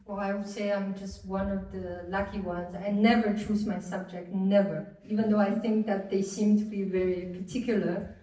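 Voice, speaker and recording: A woman from China, an artist on a panel, answering slowly and searching for her words. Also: mid-range voice, little pitch movement, warm tone. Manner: searching for words, many restarts. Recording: echoey room, mic far off.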